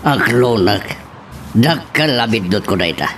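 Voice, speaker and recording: Nabiag, mangikalkalintegan nga ama. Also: male voice, fairly low pitch, expressive, unhurried, fairly bright tone, slight background noise. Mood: defensive. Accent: Ilocano. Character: defensive father caught in shady business. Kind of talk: Ilocano radio drama acting.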